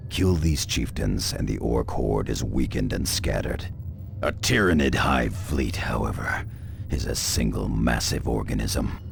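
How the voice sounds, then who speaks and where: A man playing a grim veteran space marine scout sergeant in a video game, grave and measured, with a gravelly voice. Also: noisy background, heavily acted. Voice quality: gravelly, very deep. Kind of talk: dramatic video game acting. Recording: music behind the voice.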